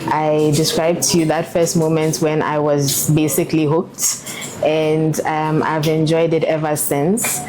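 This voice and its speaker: A woman's voice: low voice, flat delivery, unhurried, crowd noise, rough recording. A woman air traffic controller interviewed about her career, speaking earnestly and reflectively with frequent fillers.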